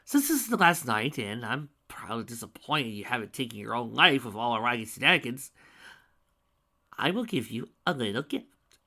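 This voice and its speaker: A man's voice: medium pitch, big pitch swings, normal speed, pushed and bright, exaggerated voice. A man voicing a manic, bloodthirsty male alicorn villain who cackles and sneers. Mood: mocking.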